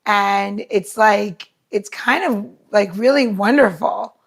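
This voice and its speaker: A woman's voice: medium pitch, takes it slowly, creaky, husky. A woman co-hosting a podcast in casual chat, talking in an informal, meandering and enthusiastic way. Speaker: podcast co-host.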